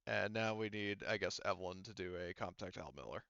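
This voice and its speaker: Bright-voiced man as game master, muffled audio. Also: sits low, gravelly, smooth, dry recording. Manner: halting, thinks aloud while working out rules. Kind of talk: narrating and refereeing a tabletop roleplaying game.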